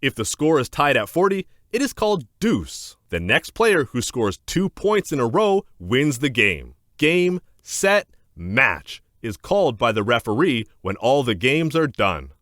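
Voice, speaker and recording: A man narrating a children's educational book aloud in a scripted, clear and deliberate instructional manner. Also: medium pitch, sing-song, a bit bright, no room sound, studio quality.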